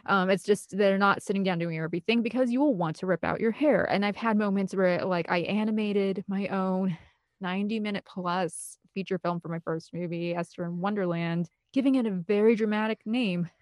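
A woman's voice: medium pitch, brisk, no echo. A woman speaking as an interview guest in a casual, rambling and emphatic conversational way. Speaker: interview guest, film composer and director.

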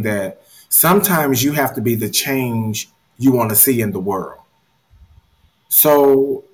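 An earnest man leading a relaxed motivational talk. He speaks with animation and often repeats words while he gathers his thoughts, at a middle pitch. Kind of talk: casual motivational discussion.

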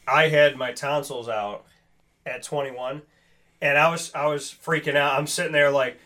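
A man's voice: fairly high pitch, fairly even intonation, moderate pace, sharp tone. A man hosting a casual sports talk show, speaking earnestly and emphatically in a rambling, personal way.

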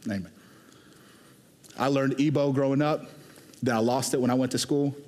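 Pastor preaching, chatty and animated, mid-pitched voice, long echo from the church hall. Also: male voice. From Houston, Texas. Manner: conversational and animated, shifting between quick asides and slow emphatic lines. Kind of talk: sermon.

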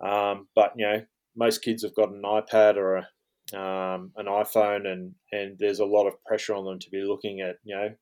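This is an Australian man around forty with a fairly low voice. He keeps his pitch flat and even. He speaks casually as an interview guest and pads his speech with plenty of "um" and "you know". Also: normal speed. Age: 40s. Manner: rambling, lots of fillers like um and you know. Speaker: guest, fly fishing guide. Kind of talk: relaxed interview talk.

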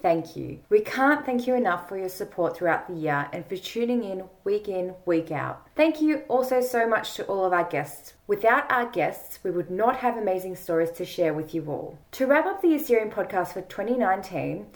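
A woman's voice: medium pitch, animated, moderate pace, few pauses. Female podcast host reading an intro. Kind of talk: scripted intro and interviewing.

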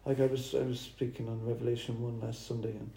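A man, a church planter, talking over dinner in a thoughtful, halting way. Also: medium pitch, little pitch movement, creaky, dark tone. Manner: halting, thoughtful, restarts phrases. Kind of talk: casual dinner table chat. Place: dining room. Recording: roomy with faint noise.

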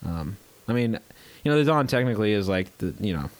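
A man's voice: lowish voice, lively intonation, brisk, no echo. A young man co-hosting a casual movie podcast, stuffy and scratchy from a cold, rambling with many fillers. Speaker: podcast co-host.

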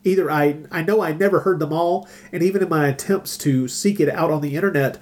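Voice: medium pitch, fairly quick. A middle-aged podcast host chatting casually and enthusiastically about old radio dramas.

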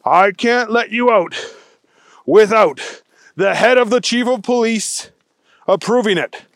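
A man's voice: sits high, slow, no room sound, clean studio sound, pitched above the performer's own voice. A man voicing an effusive, bureaucratic police chief in a children's story. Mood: officious.

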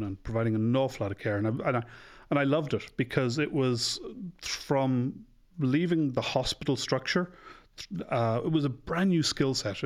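A man's voice: mid-range voice, darkish tone. A man in his forties with an Irish accent, a doctor chatting openly as a podcast guest and thinking aloud as he goes. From Ireland. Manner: chatty, self-deprecating, thinks aloud with restarts. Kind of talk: casual podcast interview.

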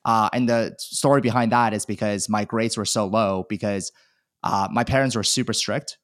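A man's voice: fairly low pitch, steady tone, normal speed, a bit bright, no room sound, studio quality. A man in his thirties from China, telling his life story candidly as a podcast guest, self-deprecating and chatty. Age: 30s. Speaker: guest, e-commerce seller. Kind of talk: casual podcast interview.

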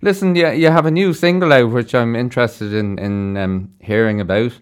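A man's voice: medium pitch, normal speed, clear, resonant tone. A man with an Irish accent hosting a casual radio interview in a studio, chatty and encouraging. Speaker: radio show host.

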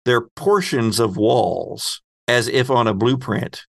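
A man acting as game master of a tabletop role-playing game, narrating scenes in a deliberate, descriptive way. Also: medium pitch, creaky, no room sound, clean studio sound.

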